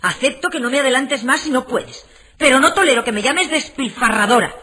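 A woman's voice: high voice, brisk, sharp tone. A woman playing a nagging middle-aged housewife and mother in a radio comedy serial. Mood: indignant scolding. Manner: pleading, then scolding and bossy. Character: nagging, indignant housewife and mother short of money.

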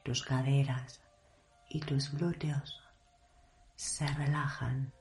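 Woman doing guided meditation, really slow n hushed, mellow dark voice, music behind. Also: extremely low pitch, level tone. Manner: hushed, measured delivery with long pauses.

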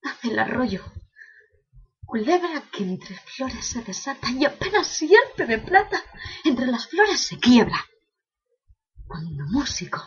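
A woman's voice: on the high side, slightly rough, lo-fi sound, heavily acted. A woman playing an anguished imprisoned prince in a declamatory, impassioned classical verse monologue, bitterly questioning heaven.

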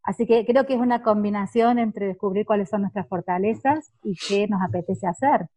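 A woman's voice: medium pitch, fairly quick, warm tone, dry recording. A woman, speaking reflectively in a group discussion.

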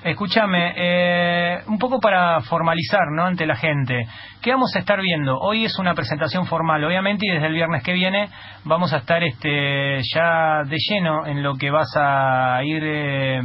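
A man's voice: on the high side, a little slow. A man with a Rioplatense Argentine accent hosting a radio interview, often repeating words as he restarts his sentences. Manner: hesitant, many repeated restarts. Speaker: radio host. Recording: muffled lo-fi radio sound, faint noise.

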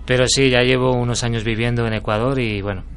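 A man from Spain with a Spanish accent, a radio guest talking in a relaxed, hesitant way. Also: mid-range voice, quick pace. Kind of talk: casual interview talk.

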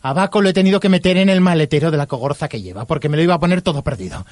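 A man's voice: on the high side, lively intonation, very fast, no room sound, close to an everyday voice. A man with an accent from Spain playing a harried, grumbling team worker in a radio drama. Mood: exasperated. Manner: exasperated, colloquial.

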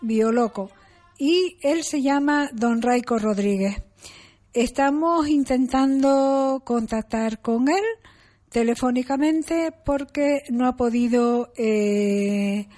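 A woman hosting a casual radio interview, her voice still worn from illness, halting with many fillers. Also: fairly high pitch, mellow. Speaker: radio host.

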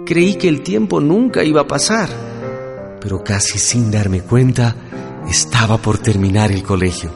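An actor playing an earnest, idealistic young student in a radio drama, speaking reflectively. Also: male voice, medium pitch, animated, a little slow, gravelly. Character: earnest, idealistic young male student recounting revolutionary times. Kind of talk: radio drama narration and dialogue. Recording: loud music under the voice.

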